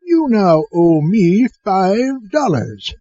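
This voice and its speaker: A man's voice: high-pitched, sing-song, drawn-out words, exaggerated voice. A man playing an eccentric, smug, faintly creepy mad doctor in a comedy audio skit. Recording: muffled recording with faint noise.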